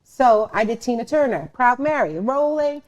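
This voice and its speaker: A middle-aged woman from Suriname and the Netherlands telling their story casually, often pausing and repeating words. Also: on the high side, expressive, darkish tone. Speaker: show host and dancer telling their story.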